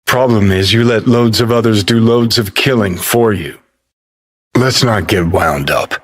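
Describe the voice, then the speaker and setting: A jaded rebel rockerboy, a digital ghost in a video game. His voice sits fairly low and moves at a very unhurried drawl. He is gruff and sardonic, speaking in short, clipped phrases. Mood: cynical, biting. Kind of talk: video game character voice acting.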